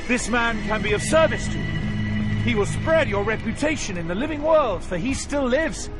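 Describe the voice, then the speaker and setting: An authoritative guide through the underworld in an audio drama. His voice is rather high, measured and dismissive. Loud music plays behind him. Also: lively intonation. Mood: commanding. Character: commanding, dismissive male poet guide through hell.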